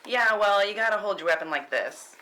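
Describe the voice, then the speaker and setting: A woman's voice: medium pitch, expressive, brisk, pushed and bright. A woman playing a cool, confident sharpshooter and teasing friend in a screenplay table read, casually giving pointers. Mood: confident, instructing. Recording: faint room background noise.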